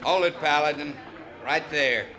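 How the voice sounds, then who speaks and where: Man acting a gruff bitter old west dad, blunt and emotional, vintage radio drama, crowd noise behind. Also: on the high side, measured pace, dull audio, lightly acted. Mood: suspicious, threatening. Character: bitter, gruff frontier father softening into generosity. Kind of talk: 1950s radio western acting.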